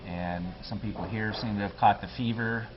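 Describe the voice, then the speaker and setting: A man's voice: fairly low pitch, steady tone, normal speed, muffled recording. A man running for local office, talking earnestly and plainly as a guest on a local TV interview. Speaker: political candidate.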